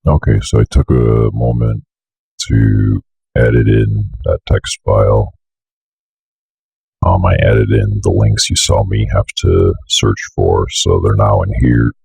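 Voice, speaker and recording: A young man with an extremely low, husky voice crackling with fry walks through software steps. He has a slight cold and talks casually, muttering asides as he goes. The recording is close and dry, at a desk. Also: fairly even intonation, takes it slowly, dry recording. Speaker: software tutorial presenter. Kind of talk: casual screen-recorded walkthrough. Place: indoor room at a computer desk.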